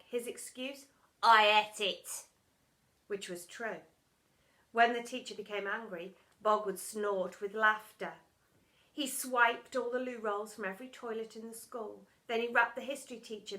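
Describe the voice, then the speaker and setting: A woman reading a children's storybook aloud as expressive narration, with dramatic, emphatic storytelling. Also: mid-range voice. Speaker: primary school story reader.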